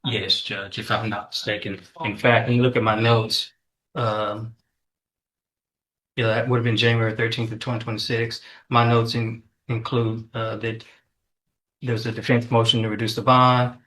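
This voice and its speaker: A man speaking as a prosecutor in a courtroom, questioning and arguing in a halting way with repeated words. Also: medium pitch, flat delivery, a bit bright, low-quality recording.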